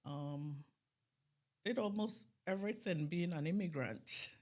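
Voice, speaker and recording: A middle-aged woman from Ghana, a chef and food business owner, talking casually as an interview guest, animated and laughing at times. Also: fairly low pitch, a little slow. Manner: animated, laughing, lively bursts.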